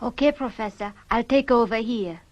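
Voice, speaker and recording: Woman acting young space crewmate, highish voice, calm, unhurried, retro puppet sci-fi, dull audio, loud music. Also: natural voice. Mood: calm, cooperative. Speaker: voice actor. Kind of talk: 1960s children's sci-fi puppet series acting.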